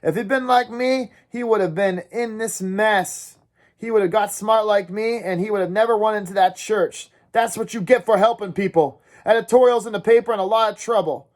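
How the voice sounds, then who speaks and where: A man's voice: very high-pitched, lively intonation, fairly quick, creaky, few pauses, voice pushed up, heavily acted. A man voicing a brash, hotheaded teenage tough. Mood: urgent tough. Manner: urgent, brash, sneering drawl.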